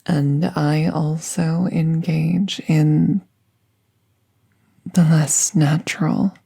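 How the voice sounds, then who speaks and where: Woman as calm fantasy veterinarian for roleplay audio: soft, mellow, creaky low voice, reassuring and unhurried. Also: exaggerated voice. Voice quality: soft, breathy, half-whispered. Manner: slow, hushed, soothing, reassuring. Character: gentle, calm female magical veterinarian treating a wounded werewolf.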